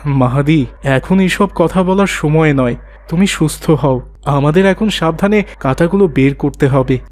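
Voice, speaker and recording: A man playing a kind, pious father in a cartoon, speaking calmly with fatherly wisdom. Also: fairly high pitch, measured pace, natural voice. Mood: concerned. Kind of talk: cartoon voice acting.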